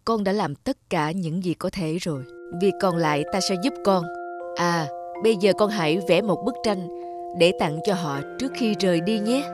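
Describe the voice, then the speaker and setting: A woman voicing a gentle, wise guardian angel in a cartoon, tender and instructive. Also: lowish voice, moderate pace, music in the background, natural voice. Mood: soothing. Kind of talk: animated fairy-tale dubbing.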